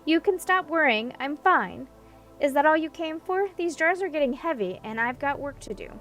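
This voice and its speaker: A woman voicing a sharp-witted, impatient young princess in a storybook, brisk, dry and exasperated. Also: high voice, expressive, recorded from a distance, faint music behind, voice pushed up. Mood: gentle, brisk.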